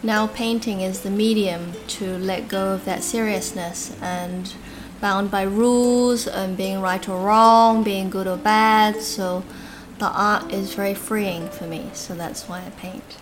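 A woman born in Hong Kong and raised in Australia, answering interview questions in a calm, thoughtful, soft-spoken way. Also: medium pitch, takes it slowly, few pauses, noisy background. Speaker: guest artist.

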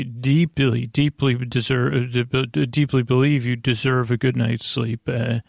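An American man hosting a sleep podcast, talking in a meandering, hesitant, reassuring monologue with a gravelly voice. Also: medium pitch, no echo, studio quality. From United States. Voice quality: creaky, gravelly. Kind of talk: rambling casual monologue.

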